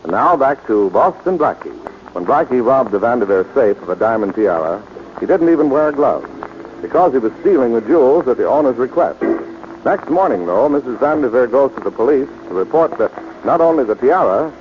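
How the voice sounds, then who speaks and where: A man narrating as the announcer of a 1940s radio drama, in a smooth, measured voice. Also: mid-range voice. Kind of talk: scripted narration. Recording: muffled old radio recording with music behind.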